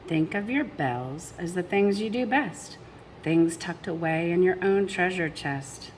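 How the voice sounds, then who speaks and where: A woman's voice: on the low side, expressive, measured pace, a little hiss or hum, rough recording. A woman reading a picture book aloud to children expressively, addressing young listeners directly with chatty asides. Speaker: storybook reader.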